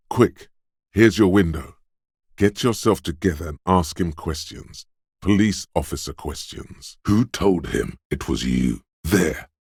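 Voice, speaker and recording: An actor playing a detective's quick-witted, suspicious inner voice of intuition in a video game, narrating in a measured, knowing, urging manner. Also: male voice, darkish tone, no room sound, studio quality, heavily acted. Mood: urgent, then thoughtful, knowing. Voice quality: very deep, smooth, resonant. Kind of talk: scripted game narration, second-person inner voice.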